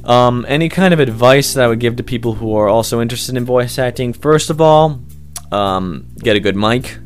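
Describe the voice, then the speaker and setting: A man's voice: mid-range voice, normal speed. A man giving casual, rambling, unscripted interview answers as an amateur voice actor, informal and keen on the work. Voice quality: loud voice. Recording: music playing behind the voice.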